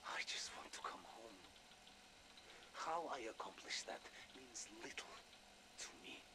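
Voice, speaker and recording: Slow, deep, weary Russian exile. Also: male voice, sing-song, whispered. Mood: bitter, weary. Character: weary, bitter Russian man stranded in another dimension. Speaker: trapped survivor on an intercepted call. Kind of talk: dramatic game voice acting. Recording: clear background noise and rumbling ambience.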